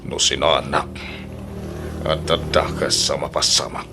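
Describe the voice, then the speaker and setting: A man's voice: very deep voice, level tone, measured pace, vocal fry, over music, full character voice. A man acting an evasive, callous, unfaithful husband in an audio drama, dismissive and emotive. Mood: defensive.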